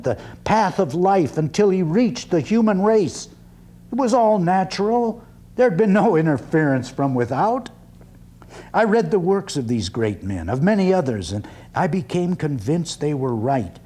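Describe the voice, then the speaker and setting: A man performing a dramatic one-man stage reading as an earnest, skeptical 19th-century orator, with deliberate, emphatic phrasing and pauses. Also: a little high, dark tone. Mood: impassioned, convinced.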